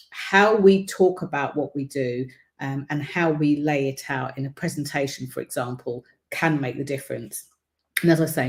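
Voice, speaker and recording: A woman hosting a livestream as a visibility coach, speaking casually to viewers with a croaky throat and many ums. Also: low-pitched, darkish tone. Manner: chatty, many ums, encouraging. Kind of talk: casual livestream talk to camera.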